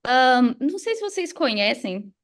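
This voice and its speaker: A woman's voice: high-pitched, very animated, moderate pace. A woman with a Brazilian accent telling a personal story casually as a podcast guest, conversational and engaging listeners directly. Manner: conversational, engages listeners with questions and tag words.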